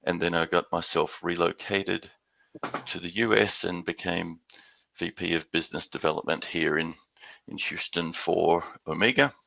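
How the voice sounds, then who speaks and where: An older man with an Australian accent giving a webinar presentation, halting and repeating words as he goes. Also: low voice, fairly even intonation, vocal fry, sharp tone, no echo, low-quality recording. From Western Australia. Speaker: guest speaker.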